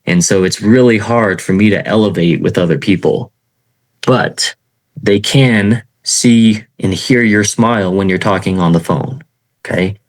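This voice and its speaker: A middle-aged man teaching sales in an informal talk, in a self-described monotone, with many fillers and "okay" check-ins. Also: fairly low pitch, a little slow. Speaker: sales trainer.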